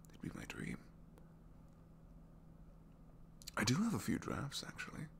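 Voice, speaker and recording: Guy doing a soft shy villain for asmr roleplay, rambly and earnest, pitch wanders a lot, bit of background noise. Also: wildly swooping pitch, quick pace, gravelly, full character voice. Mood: shy, dreamy. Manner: hesitant, soft-spoken, rambling, often dropping to whispers. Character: captured supervillain, gentle, bookish, shyly earnest dreamer. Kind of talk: intimate ASMR audio roleplay.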